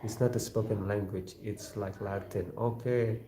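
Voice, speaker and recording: A man from West Java whose first language is Sundanese, chatting casually on a livestream, reading viewer comments, with halting speech, many fillers and frequent laughs. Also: fairly low pitch, fairly even intonation, warm tone, echoey room. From Indonesia, West Java. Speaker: livestream host.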